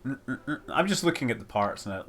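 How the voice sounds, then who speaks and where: A man's voice: medium pitch, big pitch swings, moderate pace, fairly bright tone, soft background music. A man giving casual live stream commentary as he plays, rambling, thinking aloud and exclaiming over details. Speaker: streamer playing a space building game.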